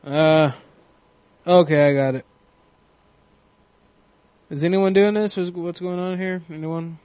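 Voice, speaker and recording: A man's voice: a little high, steady tone, slow pace, a little mellow, clean tone, no echo. A man chatting casually and crudely with a group as host of a prank call show.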